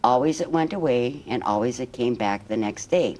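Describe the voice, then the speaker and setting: A woman reading a children's picture book aloud as a guest storyteller, in measured, expressive phrases. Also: a bass voice.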